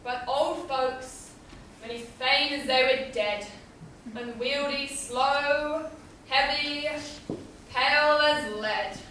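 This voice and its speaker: A woman's voice: very high-pitched, animated, slow pace, sharp tone, full character voice. A woman playing an impatient, lovestruck teenage girl on stage, pleading theatrically in Shakespearean style. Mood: impatient, brooding. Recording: echoey hall, distant mic, faint noise.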